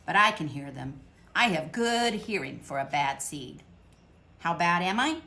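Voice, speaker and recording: Woman doing storytime, low voice with some fry in it, reads really slow and expressive. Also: slight background noise. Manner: expressive, with dramatic pauses and voiced characters. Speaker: children's book reader. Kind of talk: storytime read-aloud.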